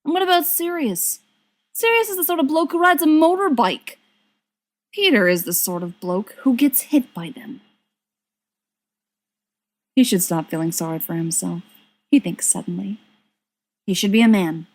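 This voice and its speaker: A woman reading a story aloud as an expressive narrator. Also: fairly high pitch, moderate pace, long pauses. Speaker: volunteer audiobook reader. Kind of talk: expressive scripted fiction narration.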